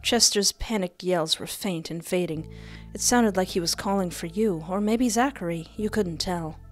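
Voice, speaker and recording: A woman's voice: on the low side, normal speed. A young adult woman hosting a gaming video, switching between reading text aloud and casual commentary on a close mic. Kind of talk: let's play commentary with dramatic read-aloud narration. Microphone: close desk microphone with headset. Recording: music behind.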